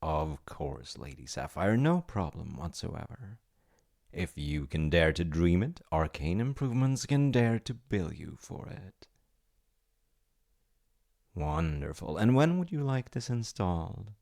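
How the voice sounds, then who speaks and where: A man acting a deep, creaky-voiced polite wizard. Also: very animated, measured pace, a little mellow, heavily acted. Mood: smooth salesman charm. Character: eager, secretly magical wizard fiance running an enchantment business. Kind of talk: ASMR audio roleplay acting.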